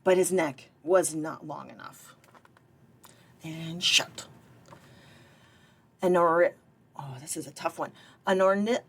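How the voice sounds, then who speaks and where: A woman reading a picture book aloud for story time, expressively, with chatty asides to young listeners. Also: on the low side. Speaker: library director and former children's librarian reading aloud.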